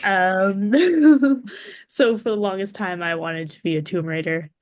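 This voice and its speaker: A woman speaks as a podcast guest in casual conversation. Her voice sits at a medium pitch, recorded dry with little room sound. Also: slow pace, darkish tone, dry recording. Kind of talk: casual chat.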